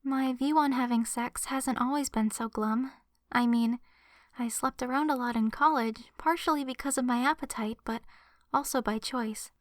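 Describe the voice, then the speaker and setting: Succubus best friend for ASMR roleplay, female performer: high voice, soft and hesitant, earnest, very dry close-mic sound. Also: fairly even intonation, normal speed, dry recording, natural voice. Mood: earnest, confiding. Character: gentle young adult succubus woman, shy lovestruck best friend. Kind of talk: ASMR roleplay, addressed to the listener. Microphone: close microphone in a dry space.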